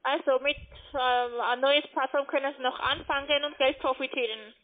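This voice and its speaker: High-pitched female telemarketer on a muffled line. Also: steady tone, moderate pace. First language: not German. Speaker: cold caller selling trading platforms. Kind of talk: scripted sales pitch by phone.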